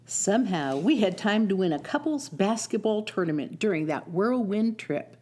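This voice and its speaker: A woman plays a sweet young teacher in a radio story. Her mid-pitched voice moves up and down expressively. She comes across as practical and in love. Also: animated, normal speed, a little mellow, lightly acted. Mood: concerned, fond.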